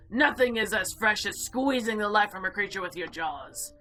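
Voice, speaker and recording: A woman's voice: medium pitch, expressive, measured pace, bright, forceful tone, faint music behind, voice pushed down. A woman voicing a haughty, predatory female monster in a visual novel, speaking in formal, imperious, archaic phrases. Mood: gleeful menace.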